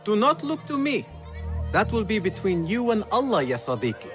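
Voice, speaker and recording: A man's voice: high-pitched, very animated, normal speed, a bit bright, faint music behind. A man playing a confident, eager young street thief in a video game cutscene. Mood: friendly, caring. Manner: confident, persistent.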